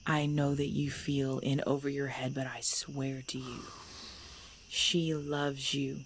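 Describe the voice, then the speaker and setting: A woman playing a centuries-old female vampire clan leader in an ASMR audio drama, composed and commanding, speaking in measured, formal phrases. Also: rumbling low, level tone, full character voice. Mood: soft, reassuring. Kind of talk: ASMR audio roleplay acting. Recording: background noise with chirping crickets.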